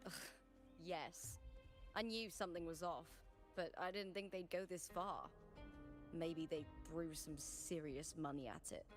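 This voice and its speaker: A woman's voice: on the low side, unhurried, close to an everyday voice. A woman voicing a fiery, proud young female gladiator in a video game, blunt and brash with emphatic delivery. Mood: frank. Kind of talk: dramatic video game voice acting. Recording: game audio with music underneath.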